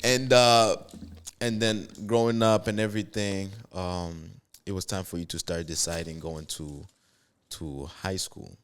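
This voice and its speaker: A man's voice: sits low, fairly even intonation, measured pace, clear, resonant tone. A man hosting a casual podcast, asking questions in a laid-back, chatty way, laughing often and giving advice. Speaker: podcast host.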